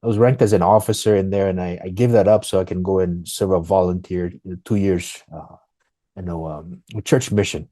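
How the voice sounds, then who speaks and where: A man's voice: low voice, steady tone, normal speed, dark tone, no echo. A man from Fiji with a Fijian accent, chatting casually and informally as an interview guest. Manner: informal, often says "man" and "you know". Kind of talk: casual conversational storytelling.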